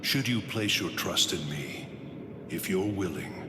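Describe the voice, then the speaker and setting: An actor playing a solemn, ancient sword spirit in an anime video game, grave and measured, with devoted gravity. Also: male voice, sits low, expressive, music in the background. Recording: echoing reverb, ambient noise behind.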